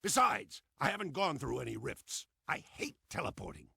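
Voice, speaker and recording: An actor playing a bossy, pompous old man who leads an underground resistance in a video game, with a raspy voice and a grumbling, commanding manner. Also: medium pitch, huge pitch swings, normal speed, sharp tone, no echo, studio quality, full character voice. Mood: irritated. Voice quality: raspy, creaky. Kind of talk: animated video game cutscene acting.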